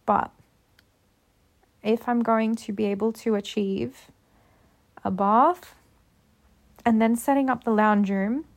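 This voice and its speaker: Slow-talking young woman with a gravelly voice. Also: on the high side, steady tone. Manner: chatty, rambling, upbeat self-talk. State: slightly sick with a cold. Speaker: vlogger. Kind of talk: casual chat to viewers.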